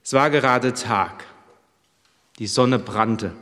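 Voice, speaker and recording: A pregnant young servant woman on the run pours out her hardship in the first person, voiced by a man in his own plain voice. She speaks slowly and earnestly. The words ring out with a long echo, as if spoken in a large church. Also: medium pitch, a bit bright. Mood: despairing. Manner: heartfelt first-person storytelling.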